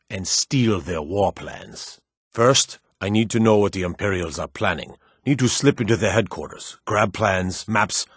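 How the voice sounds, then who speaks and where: A man playing a stern, battle-hardened military officer in a fantasy video game, brisk, commanding and gruffly matter-of-fact as he gives orders. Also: lowish voice, no echo, clean studio sound. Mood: businesslike.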